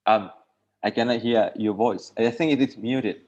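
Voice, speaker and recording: A man's voice: fairly low pitch, moderate pace, darkish tone. A man with an Indonesian accent hosting an online discussion, tentatively phrasing and rephrasing his questions over a dull video call line. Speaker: host.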